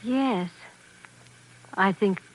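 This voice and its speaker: An actress playing a fragile, submissive wife in a 1940s radio drama, hesitant, dazed and obedient. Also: female voice, medium pitch, very slow, poor audio. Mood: meek, dazed. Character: fragile, submissive, haunted wife under husband's power. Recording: old radio recording, faint noise.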